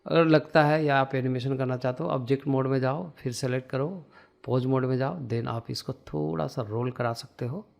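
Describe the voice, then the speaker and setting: An Indian man teaches software in a casual, step-by-step way. His mid-pitched voice pauses often as he thinks aloud, like someone recording his screen while he works. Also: steady tone, gravelly. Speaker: tutorial instructor.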